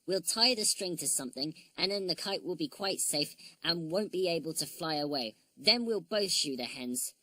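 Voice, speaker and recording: A woman voicing an eager, cheerful little wooden toy boy, with a chirpy, excitable delivery. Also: lowish voice, moderate pace, hardly any pauses, no room sound.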